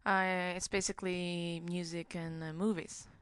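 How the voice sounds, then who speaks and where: A woman's voice: on the low side, little pitch movement, fairly bright tone, smooth, no echo. A woman from Greece with a Greek accent, a native Greek speaker answering casually in an interview and searching for words. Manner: halting, searching for words in a second language. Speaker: singer-songwriter, podcast guest.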